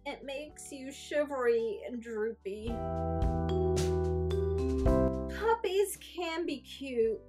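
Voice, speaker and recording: A droopy, mournful cartoon emotion acted out by a woman speaks from a children's storybook. The voice is high and very slow, dragging out its gloom, with clear music playing behind it. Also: animated. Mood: whiny, tearful. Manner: mournful, sighing, drawn-out delivery. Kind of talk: children's picture book read-aloud.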